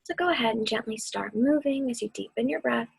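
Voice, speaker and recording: A woman with a soft, breathy voice gently guiding children through a mindfulness meditation, soothing and deliberate. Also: fairly high pitch, noisy background. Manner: soothing, gentle, deliberate, often dropping to a near-whisper. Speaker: mindfulness instructor and host of a weekly video series.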